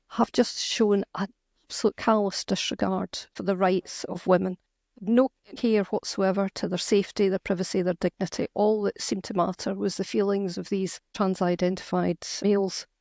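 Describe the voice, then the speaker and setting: A middle-aged Scottish woman speaks in a conversational interview, earnest and emphatic, often restarting her sentences. Her voice carries a gravelly fry. The close recording is dry, with no room sound. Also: medium pitch, darkish tone, no room sound. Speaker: author and guest.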